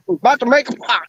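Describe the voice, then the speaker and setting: A man in his forties in a casual, blunt and profane chat, rambling as he talks. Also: extremely high pitch. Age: 40s. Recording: dull lo-fi dry sound.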